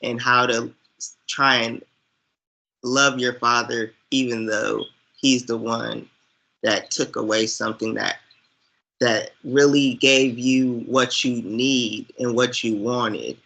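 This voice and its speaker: A man with a bright, forward voice at a middling pitch speaks as a guest in a casual chat. He goes slowly and haltingly, reflecting aloud in winding thoughts. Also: steady tone, vocal fry, low-quality recording. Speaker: guest, online movie reviewer.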